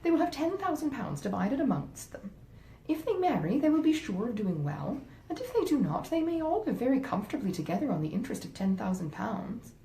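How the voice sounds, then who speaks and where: In a slightly echoey room, a woman reader puts on a scheming, selfish wife from a storybook classic. She is coaxing and indignant, with a lively, swooping pitch and a soft, mellow tone. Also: a little fast. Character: selfish, wheedling wife scheming against her sisters-in-law. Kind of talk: acted dialogue in a classic novel reading. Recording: roomy echo, faint rumbling noise.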